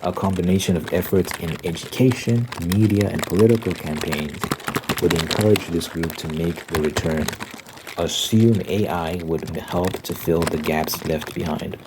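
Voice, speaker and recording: A man with a very low-pitched voice speaks in an ASMR ramble, reading aloud and adding his own commentary. The recording is heavily noisy, full of clicks, typing and crinkling. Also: steady tone, normal speed. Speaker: host of a rambling ASMR channel.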